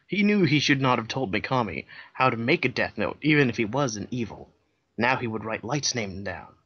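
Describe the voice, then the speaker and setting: A man reads a story aloud in a deadpan, matter-of-fact narration, tossing in dry asides. His voice sits at an ordinary middle pitch. The sound is a bit dull and lo-fi, like a remote recording. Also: moderate pace, husky. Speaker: co-host reading a fan fiction aloud. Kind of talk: comedic dramatic reading.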